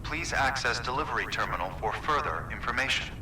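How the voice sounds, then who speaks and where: A man's voice: medium pitch, animated, brisk, background noise, lightly acted. A man voicing a polite, impersonal delivery terminal computer in a video game, making clipped formal announcements. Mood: neutral, procedural. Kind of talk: scripted system announcements. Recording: echoey, loud music and machinery behind.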